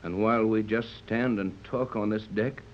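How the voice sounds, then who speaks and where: An actor playing a steady, fatherly older yacht captain in a 1930s radio serial, measured and authoritative as he gives orders. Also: fairly low pitch, natural voice. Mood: grave, watchful. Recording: muffled, noisy 1930s radio recording.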